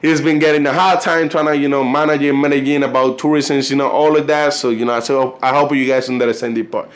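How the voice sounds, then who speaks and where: A man's voice: mid-range voice, flat delivery. A man from Colombia with a Colombian Spanish accent, a native Spanish speaker talking casually to camera as a vlogger, rambling with lots of fillers and stumbling when he reads aloud. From Cartagena, Colombia. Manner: loose, rambling, constant "you know" and "like" fillers; halting when reading aloud. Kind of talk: casual video chat.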